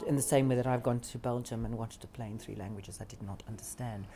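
A South African woman in a casual interview about theatre, talking in a reflective, expressive way that sometimes trails into soft asides. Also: very deep voice, quick pace. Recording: noticeable background noise.

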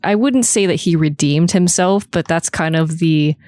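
A woman's voice: lowish voice, normal speed, a little mellow, dry recording, clean studio sound. A woman chatting casually and swearing as a podcast panelist.

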